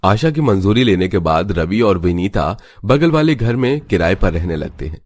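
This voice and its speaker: Low-voiced man narrating a story. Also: very animated, normal speed, a little mellow. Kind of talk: scripted narration.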